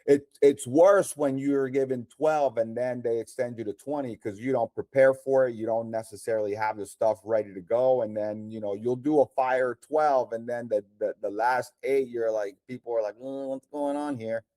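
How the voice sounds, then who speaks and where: A man's voice: mid-range voice, fairly even intonation, normal speed, hardly any pauses, dark tone, dry recording. A man in his forties from Colombia, native Spanish speaker with a Colombian accent, giving confident practical comedy advice as a guest in a casual chat. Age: 40s.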